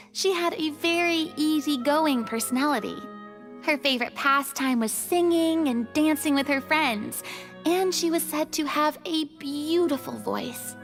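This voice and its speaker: A gentle young storyteller in a video game. Her voice is high and her delivery is articulate and admiring. Faint music sits behind it.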